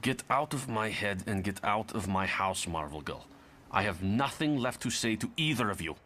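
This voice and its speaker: Man playing animated, bitter young ex-hero. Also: lowish voice, moderate pace. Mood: angry. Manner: defiant, argumentative. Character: bitter, fed-up young Russian mutant ex-hero. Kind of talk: motion comic dialogue.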